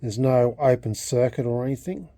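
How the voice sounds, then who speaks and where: A man talking unscripted as a hobbyist vlogger, in a halting way, often repeating and rephrasing himself. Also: mid-range voice, steady tone, dark tone, dry recording, clean studio sound. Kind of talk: unscripted restoration vlog talk.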